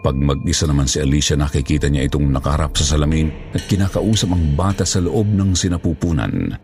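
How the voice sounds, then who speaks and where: A man with an extremely low voice narrates a horror story. His delivery is dramatic and scripted, with faint music underneath. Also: fairly quick.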